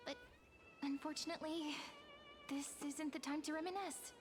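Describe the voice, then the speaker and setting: A woman playing a gentle, wistful young heroine in a video game, speaking softly and earnestly with measured, dramatic phrasing. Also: high voice, steady tone, lightly acted. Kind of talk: video game story voice acting. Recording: game music behind the voice.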